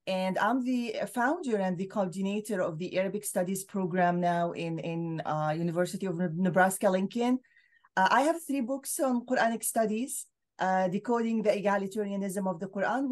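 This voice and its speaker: A woman's voice: on the low side, darkish tone. A middle-aged woman from Syria, a scholar speaking as a guest on a video call, explaining her views earnestly with frequent fillers. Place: home room with bookshelves.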